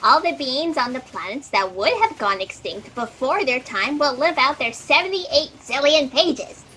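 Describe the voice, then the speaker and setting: A woman's voice: high voice, expressive, moderate pace, bright, forceful tone. A woman playing an eager, chatty fact checker in a comic sci-fi audio drama, perky and a little hesitant. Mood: bubbly. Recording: noisy home video-call audio.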